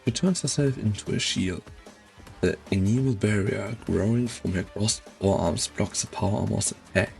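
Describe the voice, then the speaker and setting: A man narrating a game story in a measured, solemn, dramatic scripted style. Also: lowish voice, creaky, darkish tone, soft background music.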